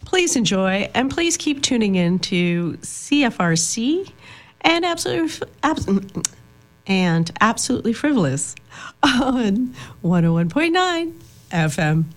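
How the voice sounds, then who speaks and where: A woman's voice: fairly high pitch, very animated, unhurried. Hesitant woman radio host. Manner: hesitant, many fillers, light laughs. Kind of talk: casual radio chat. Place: radio studio.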